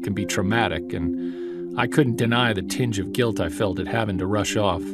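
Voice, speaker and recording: An actor as an earnest male investigator in an audio drama. His voice is fairly low, confiding and a little wry. Music plays clearly behind him. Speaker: narrator and lead of an audio drama. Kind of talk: first-person dramatic narration and dialogue.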